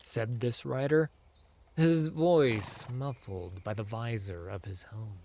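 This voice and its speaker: A man narrating a fantasy audiobook in a theatrical, measured storytelling style. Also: mid-range voice, darkish tone, a little hiss or hum. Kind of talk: dramatic scripted fantasy narration.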